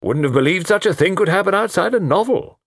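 A man's voice: high-pitched, animated, quick pace, a bit bright, no room sound, studio quality, voice pushed up, heavily acted. A man voicing a hearty, blunt British colonel in a storybook, blustering and exclaiming in astonishment. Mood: flabbergasted.

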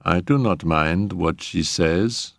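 A man voicing a sullen, pious female servant who speaks in a quiet, sanctimonious sing-song. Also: sits low, takes it slowly, vocal fry, dry recording, studio quality, heavily acted.

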